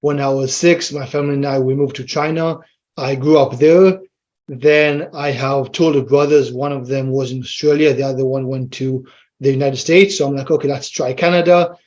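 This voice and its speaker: A man's voice: mid-range voice, steady tone, moderate pace, darkish tone. A man with an Israeli accent, a fitness trainer chatting easily as a podcast guest and explaining things plainly. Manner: easygoing, explains plainly, quotes himself with "I'm like". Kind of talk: casual interview chat. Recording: slightly muffled lo-fi audio.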